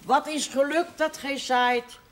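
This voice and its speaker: An actor playing a solemn, fervent, Bible-quoting prophet of doom, declaiming in a theatrical television drama. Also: male voice, extremely high pitch, steady tone, takes it slowly, fairly bright tone, heavily acted. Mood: solemn lament. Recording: faint noise, older television soundtrack.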